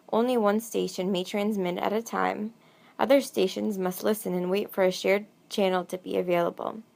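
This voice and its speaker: A woman's voice: mid-range voice, vocal fry. A woman reading a scripted documentary narration.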